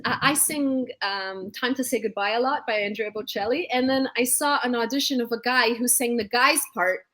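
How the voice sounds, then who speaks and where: An upbeat woman singer talks through her story on a video call, now and then breaking into song. Her voice sits a little high. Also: normal speed, pushed and bright, slight background noise. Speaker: guest singer. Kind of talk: casual video-call interview.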